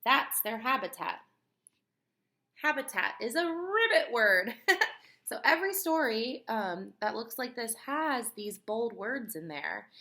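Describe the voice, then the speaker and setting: A woman teacher reading aloud to young children in an expressive, instructive way, putting questions to the listener. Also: mid-range voice.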